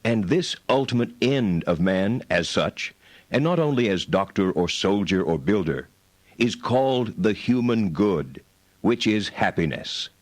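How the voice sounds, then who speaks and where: A learned medieval philosopher sets out his treatise in a low voice. He is deliberate, precise and didactic, with lively intonation in a formal dramatized reading. The sound is close and dry. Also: dry recording.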